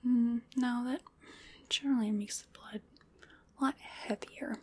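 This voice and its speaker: A woman's voice: a little high, fairly even intonation, husky. A woman playing a playful, polite, hungry vampire in a whispered ASMR roleplay, coaxing and musing as she dotes on the listener. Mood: musing, indulgent. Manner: slow, coaxing, musing, with pet names.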